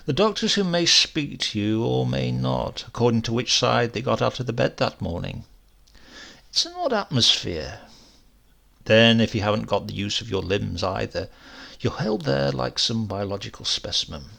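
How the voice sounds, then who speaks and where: A man reading a first-person horror story aloud in a dramatic, confiding and expressive manner. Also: mid-range voice, creaky. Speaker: story reader.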